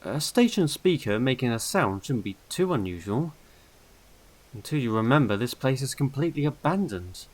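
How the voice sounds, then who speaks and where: A man narrating a scripted first-person horror story in a measured, deliberate way with dramatic pauses. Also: medium pitch, sing-song. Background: eerie background music.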